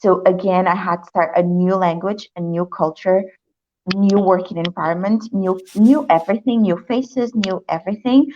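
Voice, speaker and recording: A woman's voice: medium pitch, moderate pace, mellow, lo-fi sound, a little hiss or hum. A young woman from Africa, raised in Portugal, speaking natively, reflecting earnestly as a guest in a testimonial interview. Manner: earnest, reflective, with many restarts. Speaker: program client, guest.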